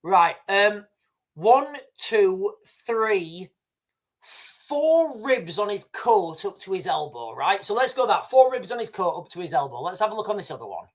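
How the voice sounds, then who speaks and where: A man's voice: sits high, big pitch swings, unhurried. Rambling man hosting a livestream. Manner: rambling, talks straight to viewers as "guys", frequent restarts and "right". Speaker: livestream host. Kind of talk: live commentary over video clips.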